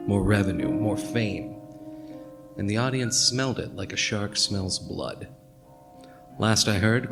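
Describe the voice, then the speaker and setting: A man playing a wistful narrator looking back, in scripted radio drama narration. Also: fairly low pitch. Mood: wistful, grave. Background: plucked guitar music.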